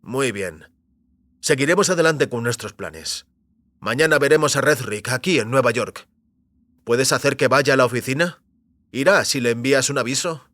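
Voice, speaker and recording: Un actor interpreta a un extécnico fugitivo, decidido y calculador, en una audioficción, con habla segura y pausada. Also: male voice, mid-range voice, animated, fairly bright tone, no echo, natural voice. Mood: resolute. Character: Jennings, determined scheming former technician on the run. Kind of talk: science fiction audio drama dialogue.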